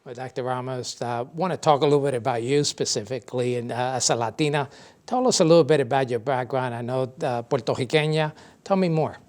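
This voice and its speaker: A man hosting a TV interview show, asking relaxed questions with plenty of "uh" fillers. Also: mid-range voice, a little fast. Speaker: TV show host.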